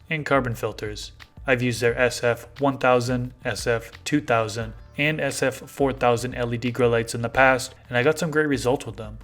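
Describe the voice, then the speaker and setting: A man in his thirties with a hoarse, slightly raspy voice hosts a casual podcast. He speaks into a studio mic on a boom arm at home, at a mid pitch, with faint music under him. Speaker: podcast host. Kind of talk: casual podcast chat and sponsor reads. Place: home room.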